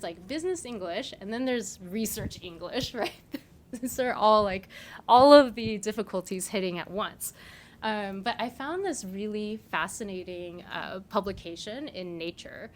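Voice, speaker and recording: A woman in her thirties, raised in South Korea with Korean as her first language, speaks to a small audience at a meetup. She talks in a loose, chatty way, filling gaps with "like" and turning to the room with "right?". A faint hiss sits under her voice. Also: mid-range voice. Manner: chatty, frequent "like" and "right?", laughs between points. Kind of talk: live conference talk.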